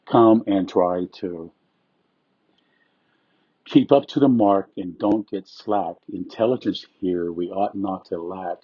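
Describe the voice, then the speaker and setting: A man's voice: sits low, slow, husky, dry recording. A man presenting and reading rhymed poetry aloud, with short introductions, on a dull lo-fi recording.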